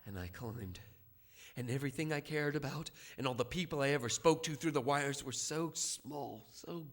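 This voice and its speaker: An actor playing a rebellious, obsessed son in a theatrical audio drama, speaking fervently with halting repetitions. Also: male voice, mid-range voice, fairly bright tone, natural voice. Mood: hushed, awed. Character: young rebellious son, obsessed, confessing his escape. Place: stage before a studio audience. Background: music.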